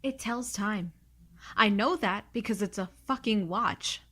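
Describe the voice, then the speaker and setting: A woman's voice: medium pitch, measured pace, a bit bright, husky. A woman playing a sarcastic, blunt, reluctant young space traveller in an audio drama. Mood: sarcastic, grudging. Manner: snarky, exasperated, blunt. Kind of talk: audio drama acting and narration.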